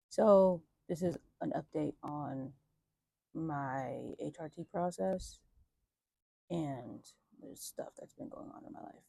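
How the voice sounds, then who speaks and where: Young guy vlogging outside, voice just getting deeper, dry close sound, lots of um and like. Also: creaky, mellow, slightly rough, dry recording. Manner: chatty, many fillers, wry asides. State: voice deepening early on testosterone. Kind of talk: casual life update chat. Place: outdoors in a light breeze.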